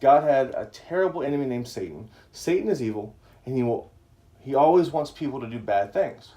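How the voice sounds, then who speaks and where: Mellow-voiced man reading a bedtime story. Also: medium pitch, expressive, fairly quick. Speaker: dad hosting a family livestream. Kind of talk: casual live chat and bedtime story reading.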